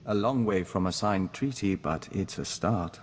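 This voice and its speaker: An actor playing a gentle, earnest man joined to an insect hive mind, measured and formal in scripted game dialogue. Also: low voice. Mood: soft, sincere. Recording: noisy game capture with long reverb.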